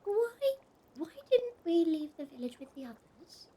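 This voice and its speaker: A woman playing a timid young village daughter in a period audio drama, hesitant and soft-spoken. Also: very high-pitched, big pitch swings, unhurried, heavily acted. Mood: fearful.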